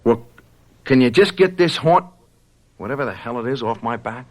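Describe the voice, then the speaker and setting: A man's voice: medium pitch, lively intonation, moderate pace, a little mellow, a little hiss or hum, natural voice. An actor playing a brash, troubled young college man in a radio drama, with slangy, stammering, defensive speech. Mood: desperate. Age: young adult.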